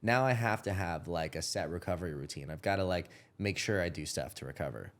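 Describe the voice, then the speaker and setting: Low-voiced man in his thirties chatting. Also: expressive, a little fast. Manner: rambling, self-correcting, casual swearing. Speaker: podcast host. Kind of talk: casual chat.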